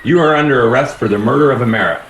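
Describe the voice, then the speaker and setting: A man playing a shrewd, folksy police inspector in a radio play, deliberate and accusing as he lays out a case. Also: medium pitch, a bit bright, close to an everyday voice. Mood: firm, assured. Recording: echoey room with faint noise.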